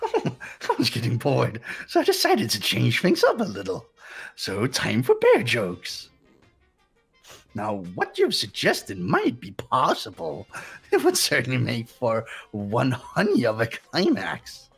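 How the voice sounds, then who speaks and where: A gleefully sadistic bear mascot villain from a game. The voice is very husky and creaky, drawling slowly with theatrical, taunting relish. Faint music plays underneath. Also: medium pitch, wildly swooping pitch, fairly bright tone, full character voice. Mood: amused, scheming. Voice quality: raspy, gravelly. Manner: theatrical, taunting, giggling, pun-happy. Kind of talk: character voice acting in a game fan dub.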